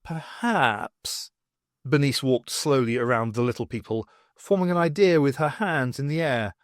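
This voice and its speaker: A man reading a science fiction novel aloud as scripted, expressive audiobook narration. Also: medium pitch, moderate pace, no room sound, clean studio sound. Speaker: audiobook reader.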